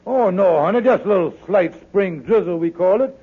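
An actor playing a wheedling, fast-talking husband in a 1950s radio sitcom, with folksy, exaggerated dialect and a coaxing manner. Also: male voice, high voice, lively intonation, breathy edge. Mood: cheerful, soothing. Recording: tinny, narrow-band old radio broadcast.

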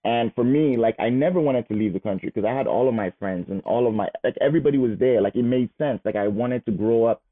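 A man in his thirties, a guest telling his life story in a casual, animated way. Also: mid-range voice, fast talker, hardly any pauses. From Nigeria. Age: 30s. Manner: animated, repeats words as he goes. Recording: phone-like narrow sound.

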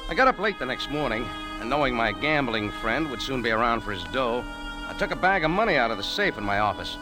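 An actor playing a tough, unflappable bar owner, dry and sardonic in clipped slangy talk, in a 1940s radio drama. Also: male voice, mid-range voice, big pitch swings, fast, over music, lightly acted, low-quality recording. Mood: wry narration. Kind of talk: 1940s radio acting with first-person hardboiled narration.

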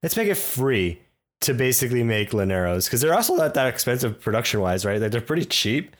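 A man's voice: medium pitch, sing-song, fairly quick. A man co-hosting a casual gaming podcast, talking unhurriedly and chuckling as an enthusiastic fan. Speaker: podcast co-host. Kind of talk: casual chat about game patch notes.

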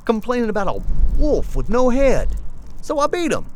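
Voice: mid-range voice, huge pitch swings, normal speed, a little hiss or hum. A performer playing a proud, pious and cruel farmer husband with folksy rural speech in an audio play. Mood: defensive, strained. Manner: folksy rural speech, swinging from hushed threat to strained pleading. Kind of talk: theatrical one-act audio play acting.

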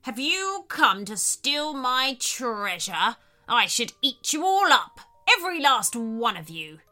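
A woman's voice: fairly high pitch, very animated, slow pace, bright, forceful tone, exaggerated voice. Woman acting a theatrical, growly big male shark. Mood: menacing. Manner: theatrical, exclaiming.

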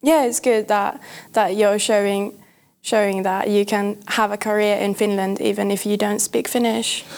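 A woman with a mid-pitched voice who hosts an interview. She keeps her questions short and friendly, and she introduces each new segment. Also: little pitch movement, moderate pace, a little mellow. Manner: friendly and brief, leads segments. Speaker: interviewer host. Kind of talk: casual interview.

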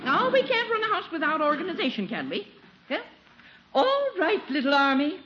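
An actress playing a prim, bossy older aunt in a 1940s radio comedy, commanding and fussy. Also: female voice, high-pitched, very animated, normal speed. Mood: brisk, commanding. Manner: commanding, fussy, self-assured. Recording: muffled, faintly noisy 1940s radio recording.

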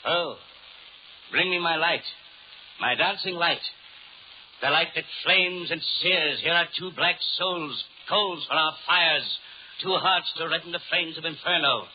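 A man's voice: fairly high pitch, unhurried, close to an everyday voice. A man playing a suave, sinister Devil in a 1930s radio horror drama, formal and courtly, mocking and commanding. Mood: gloating, grand. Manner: formal, courtly, menacing, theatrical. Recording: muffled, noisy 1930s radio recording.

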